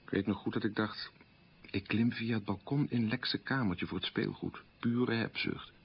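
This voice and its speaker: A troubled middle-aged man looks back on his boyhood with candour in a radio play. His voice is deep and husky, his telling plain and anecdotal. The recording sounds dull and dated. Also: normal speed. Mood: candid, self-reproaching. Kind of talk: radio play monologue in interview manner. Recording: muffled old radio recording.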